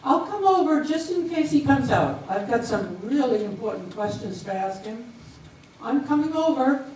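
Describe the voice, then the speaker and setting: A brisk, insistent small-town reporter acted by a woman with a high voice, pressing questions in a stage comedy. She sounds distant in a very echoey room. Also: expressive, a little mellow, lightly acted. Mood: pushy. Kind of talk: amateur readers theater comedy. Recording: very echoey room, distant mic, faint noise.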